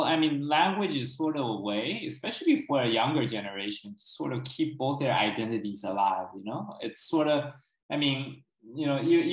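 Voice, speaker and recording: A young man speaks as an interview guest in a casual, conversational way. He explains at length and keeps checking that the listener is following. His voice carries a noticeable room echo. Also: medium pitch. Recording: roomy echo.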